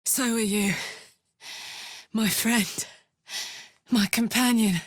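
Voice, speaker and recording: An actress playing a brash, warm-hearted, battle-hardened tiefling barbarian woman in a video game, blunt, cheeky and earnest. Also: fairly high pitch, fairly even intonation, slow, dry recording, studio quality. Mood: tender, earnest. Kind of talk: scripted game character voice lines.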